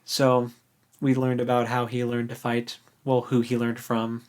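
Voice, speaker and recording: A co-host on a book podcast, speaking at a middling pitch. The talk is casual and loose, with slangy, informal recapping as in a relaxed discussion between readers. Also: measured pace.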